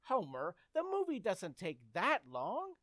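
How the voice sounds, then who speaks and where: Woman playing flustered teenage boy, whiny, pleading, pitch leaping about, radio comedy, dry sound. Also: huge pitch swings, a little slow, no echo. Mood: exasperated. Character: excitable, anxious, exasperated teenage boy Henry. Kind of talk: old-time radio comedy acting.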